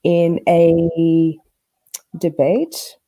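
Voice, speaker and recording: Woman, relaxed dark tone, casual podcast co-host, dry close recording. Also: lowish voice, drawn-out words, vocal fry, no room sound, low-quality recording.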